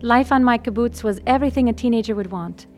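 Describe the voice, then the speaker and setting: A woman's voice: fairly high pitch, fast, a little mellow, faint music behind. A young woman with an Israeli accent, a native Hebrew speaker, narrating her own life story in a radio drama.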